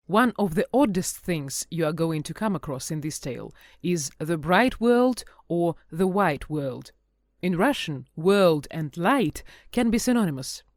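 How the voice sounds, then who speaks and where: A woman co-hosting a podcast, reading scripted explanatory notes in a measured way. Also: lowish voice, darkish tone, no room sound, clean studio sound. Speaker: podcast host.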